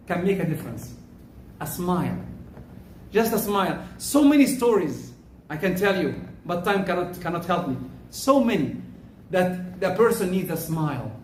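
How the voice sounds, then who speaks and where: A man giving a Friday sermon as khatib, loud and emphatic, stressing words as he preaches. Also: high-pitched, a little hiss or hum. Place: mosque prayer hall. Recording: echoey hall, mic from far off.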